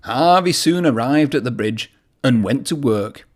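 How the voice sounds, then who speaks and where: A man's voice: medium pitch, animated, unhurried. A man reading a children's story aloud in a narrating style. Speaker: storybook reader.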